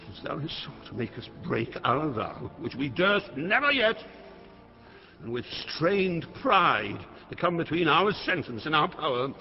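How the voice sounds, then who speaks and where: A man's voice: a little high, sing-song, unhurried, creaky, soft background music, heavily acted. Imperious old king, classical stage drama, wide swooping delivery, commanding, muffled hall reverb. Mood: furious, commanding. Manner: commanding, grandiose declamation. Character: proud, wrathful old king, imperious and rash. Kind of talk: classical Shakespearean stage acting.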